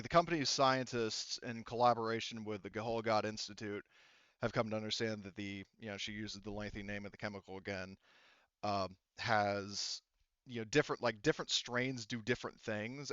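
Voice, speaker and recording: A man improvising tabletop game narration as game master, often halting and rephrasing mid-sentence. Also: fairly low pitch, a bit bright, clean tone, no echo, muffled recording.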